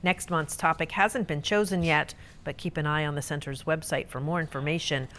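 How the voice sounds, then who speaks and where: A woman's voice: low-pitched, fairly even intonation, brisk, a bit bright. A woman reporter reading scripted narration for local news stories.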